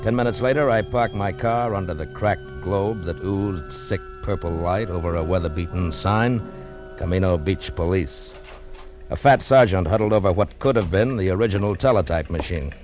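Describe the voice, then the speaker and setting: A man's voice: low-pitched, moderate pace, natural voice. An actor playing a tough private detective in a 1940s radio noir drama, terse and wry as he works and narrates his case. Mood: brooding, wry. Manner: terse, wry, hard-boiled. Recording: vintage radio recording with music underneath.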